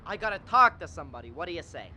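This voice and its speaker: A young actor playing a restless, kind-hearted man in a radio drama, halting and wry in delivery. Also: medium pitch, very animated, bright, forceful tone. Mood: restless, dreamy. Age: young adult. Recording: faint background noise.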